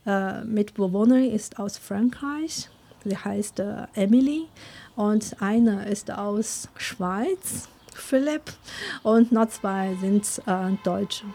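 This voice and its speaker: A woman's voice: medium pitch, takes it slowly, mellow. A young woman from China with a Chinese accent, answering interview questions, hesitant with many fillers and frequent laughs.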